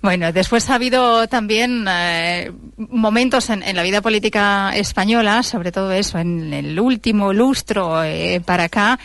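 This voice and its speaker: A woman's voice: mid-range voice, normal speed, fairly bright tone, dry recording. A woman hosting a radio interview, asking long, fluent questions.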